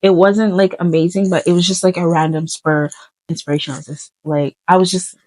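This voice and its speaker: Chatty young woman, low mellow voice. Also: steady tone, brisk, dry recording. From Uganda. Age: 20s. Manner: chatty, lots of fillers and self-corrections. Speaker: fashion designer vlogger. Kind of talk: casual vlog storytelling while doing makeup.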